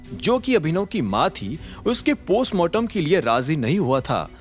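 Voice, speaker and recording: An expressive man narrates a dramatic audio story. His pitch moves in lively swings as he builds suspense. Soft music plays underneath. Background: background music.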